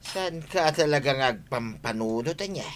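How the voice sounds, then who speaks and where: A man's voice: mid-range voice, expressive, moderate pace, slight background noise, lightly acted. A man acting a scheming comic rascal in an audio drama. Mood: teasing. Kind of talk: comedic radio-style drama acting.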